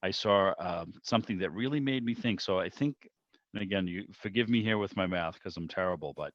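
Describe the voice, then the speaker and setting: A man's voice: low-pitched, fairly bright tone. A man chatting casually and ramblingly as host of an online club meeting, thinking aloud as he goes. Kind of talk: casual enthusiastic chat about old radio. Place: indoors on a video call. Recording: muffled, roomy video-call audio.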